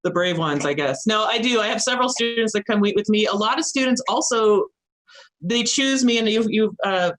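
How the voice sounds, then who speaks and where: A woman's voice: mid-range voice, fairly quick, low-quality recording. Assertive woman instructor with a strong voice. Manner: assertive, strong voice, laughs often. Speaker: college instructor. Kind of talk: informal talk to students.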